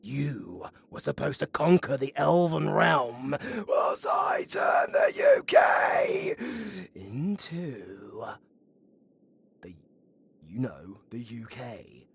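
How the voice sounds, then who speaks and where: A man's voice: mid-range voice, very animated, very slow, creaky, breathy edge, exaggerated voice. A British man playing a flirty, sardonic undead necromancer villain in a theatrical audio roleplay. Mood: exasperated, bitter. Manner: theatrical, swearing, swinging between hushed murmurs and outbursts.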